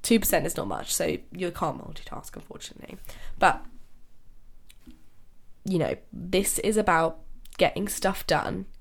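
A woman's voice: lowish voice, unhurried, gravelly. A young woman hosting her own podcast, sounding nasal because she is ill, chatting casually, laughing often and restarting sentences. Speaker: podcast host. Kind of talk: casual solo podcast talk.

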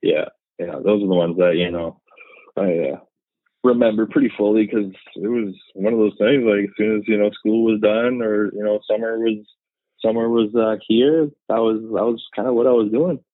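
A Canadian man in his thirties talks in a loose, rambling way, full of fillers. His voice sits very low. It comes through dry and tinny, like a phone call. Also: fairly even intonation, moderate pace, dry recording. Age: 30s. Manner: rambling, many fillers and laughs. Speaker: podcast guest. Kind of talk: casual chat.

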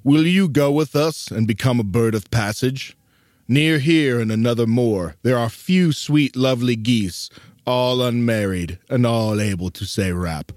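A man's voice: medium pitch, a little slow, darkish tone, no echo, studio quality, natural voice. Man acting a cocky, condescending storybook animal. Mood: saucy, chummy. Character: cocky male farm-tale animal, saucy and condescending.

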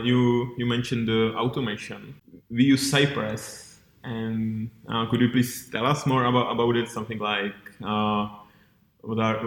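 A man hosting a casual podcast interview, haltingly searching for his words as he asks questions. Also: lowish voice. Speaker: podcast host. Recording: roomy, echoey sound.

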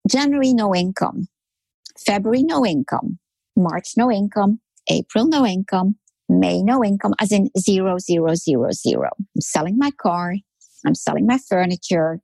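A woman's voice: mid-range voice, expressive, moderate pace, creaky, warm tone, slightly rough, no room sound, low-quality recording. A woman in her sixties from France, a podcast guest telling her story in an emphatic way, repeating words for rhythm. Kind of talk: storytelling interview talk.

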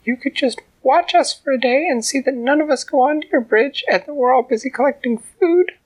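A man voicing a tiny, brave female ant who talks high and earnest. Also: brisk, low-quality recording. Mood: earnest pleading. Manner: shouts up high and earnest, pleading her case.

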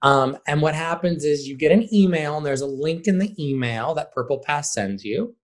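A man answering interview questions conversationally as a high school theater director, stressing points by repeating words. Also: expressive, moderate pace.

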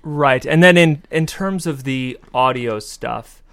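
Easygoing man with medium-pitched voice. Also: slow, vocal fry, a bit bright. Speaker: interview guest, audio engineer. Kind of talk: casual interview talk.

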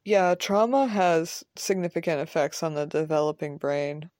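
A woman playing a measured, scholarly male professor in an audio drama, precise and deadpan. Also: low voice, no echo, clean studio sound, lightly acted. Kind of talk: naturalistic audio drama dialogue.

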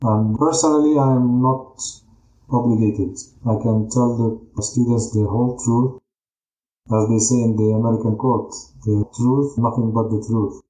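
Male history teacher, Palestinian Arabic accent, deliberate interview delivery, dark muted tone, roomy echo. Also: mid-range voice, fairly even intonation. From Jaffa. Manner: deliberate, phrase by phrase, non-native English phrasing. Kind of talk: interview answers.

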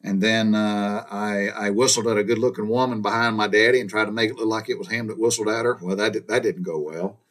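A man's voice: on the low side, fairly even intonation, fairly quick, hardly any pauses, fairly bright tone. A man from Georgia with a Southern accent, telling his own childhood stories in a folksy, meandering way, often restarting his sentences and wandering into asides. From Georgia, USA. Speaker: storyteller recounting his childhood. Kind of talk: casual spoken storytelling.

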